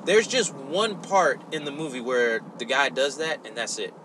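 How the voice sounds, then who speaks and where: A man's voice: medium pitch, normal speed, a bit bright, a little hiss or hum, rough recording. A man co-hosting a podcast, chatting casually and exclaiming often. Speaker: podcast co-host. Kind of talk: casual chat.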